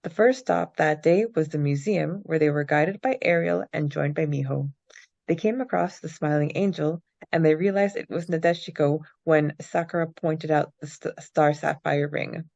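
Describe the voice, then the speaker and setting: A woman's voice: low-pitched, moderate pace, creaky, a little mellow, lo-fi sound. A young adult woman co-hosting a podcast, recapping and discussing a story. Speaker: podcast co-host. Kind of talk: reading a recap, then casual book-club chat.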